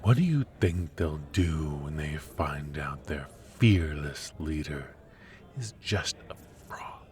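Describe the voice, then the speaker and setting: A man playing a silky, mocking dark warlord in an intimate ASMR roleplay, with theatrical, taunting, drawn-out delivery. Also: extremely low pitch, animated, heavily acted. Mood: taunting, insinuating. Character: dark male warlord villain, silky, mocking, menacing dream invader. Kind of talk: ASMR roleplay, intimate villain monologue addressed to the listener.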